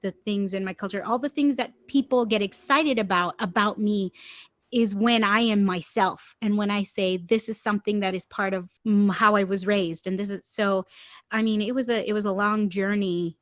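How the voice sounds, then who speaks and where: A woman's voice: mid-range voice, moderate pace, no room sound, studio quality. A woman from Puerto Rico whose first language is Spanish, telling stories animatedly and laughing often as a podcast guest. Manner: animated storyteller, laughs often, swears casually. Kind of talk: casual conversation.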